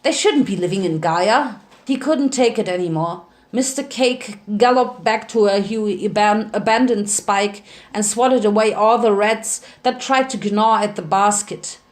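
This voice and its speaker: A woman's voice: mid-range voice, unhurried, hardly any pauses. A woman narrating fan fiction as a dramatic reading. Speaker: story narrator.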